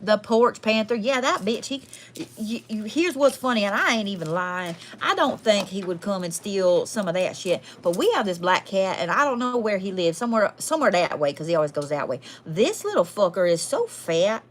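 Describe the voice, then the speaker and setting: A woman's voice: medium pitch, lively intonation, normal speed, few pauses, slight background noise, close to an everyday voice. A woman playing a sassy, foul-mouthed, folksy Southern woman with a twang, chatting casually as a livestream host. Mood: amused, teasing. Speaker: livestream host doing readings. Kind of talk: casual livestream chat in character.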